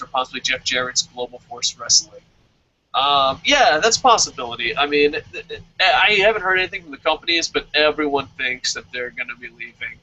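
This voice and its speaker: A man hosting a casual wrestling review chat, excitable, stammering at times and bursting into loud animated quotes. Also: medium pitch. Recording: dull lo-fi audio.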